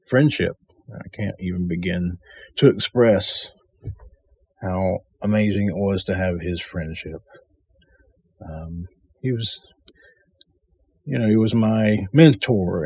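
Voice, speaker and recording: A man giving a halting, heartfelt spoken tribute as host of an audio drama company, with many pauses and restarts. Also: deep voice, darkish tone, slightly rough.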